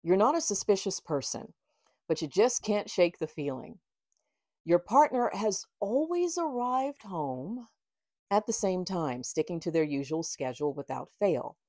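Lower-pitched middle-aged woman, measured delivery. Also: very animated, warm tone, no echo, studio quality. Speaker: author and tarot reader. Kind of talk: reading aloud from own book with casual asides. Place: small book-lined room indoors.

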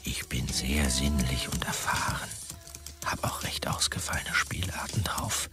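An actor playing a self-important, pushy and sleazy young dot-com manager in a radio drama, with officious, jargon-heavy delivery. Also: male voice, a bass voice, a little slow, in a whisper, over loud music. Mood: seedy, hushed. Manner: officious business jargon, heavy English buzzwords. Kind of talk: radio drama, characters reading out e-mails.

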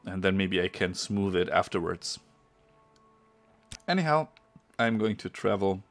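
A man with a German accent, a non-native English speaker, giving chatty let's-play commentary and sometimes pausing to search for a word. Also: deep voice, expressive, soft background music. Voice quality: gravelly. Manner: chatty, pauses to search for words. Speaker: gameplay streamer.

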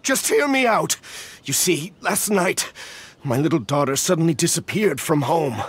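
A man's voice: on the high side, expressive, moderate pace, fairly bright tone, slightly rough. An actor playing an anxious middle-aged official and fretful father in a video game, flustered, earnest and explanatory. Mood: pleading.

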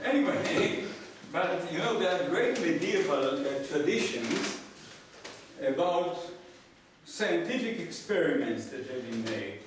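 A man in his sixties with an Israeli accent, a native Hebrew speaker, giving a public lecture as a professor with wry, deadpan asides. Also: on the high side, slow pace, distant mic. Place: small lecture room with seated audience, indoors. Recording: echoey room from afar, noisy background.

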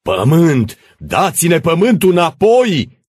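A man voicing angry, rebellious peasants in a storybook, yelling and chanting demands. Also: fairly high pitch, huge pitch swings, breathy edge, dry recording, studio quality, pitched above the performer's own voice, heavily acted. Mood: roaring anger.

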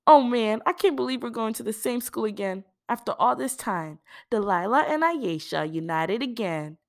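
Woman doing an excitable high-voiced teen girl. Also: moderate pace. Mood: cheerful. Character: excitable, chatty, friendly teenage schoolgirl.

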